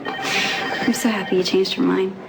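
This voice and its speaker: A woman's voice: medium pitch, fairly even intonation, a little slow, vocal fry, over loud music, poor audio. A woman playing a caring, stubbornly stoic young medical student in a soap opera. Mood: upbeat, affectionate. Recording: loud background noise, clicks.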